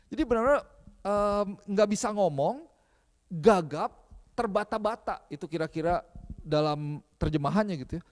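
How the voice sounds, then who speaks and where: A man with an Indonesian accent preaching a sermon in a church, mixing languages and pausing on fillers. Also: high voice, expressive. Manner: switches between English and Indonesian, many fillers. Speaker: pastor. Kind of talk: bilingual sermon. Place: church hall. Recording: long hall reverb.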